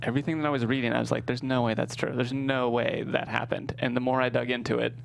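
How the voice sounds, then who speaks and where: A man's voice: mid-range voice, flat delivery, fast talker, vocal fry. A man from Texas talking casually as a screenwriter guest in an interview.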